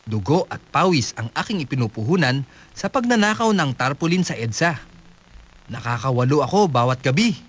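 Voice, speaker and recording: A man's voice: mid-range voice, big pitch swings, moderate pace. A man playing a naive young squatter who solemnly and proudly reads his letter in a comic radio drama. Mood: earnest, proud. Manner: solemn, letter-reading delivery. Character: naive, earnest young male squatter writing home with pride. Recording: dull lo-fi radio broadcast.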